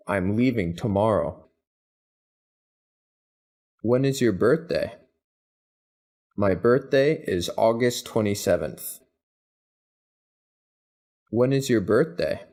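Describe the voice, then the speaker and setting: A man reading English practice questions and answers, each said twice in an even, deliberate way. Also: on the low side. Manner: even, deliberate, carefully spaced delivery. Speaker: English teacher. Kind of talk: slow scripted phrase drills, each said twice.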